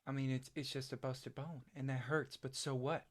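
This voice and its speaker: A man's voice: medium pitch, level tone, moderate pace, close to an everyday voice. An actor playing a troubled, literal-minded rural young man in a stage play reading, stammering and repeating his words. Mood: confused, guilty.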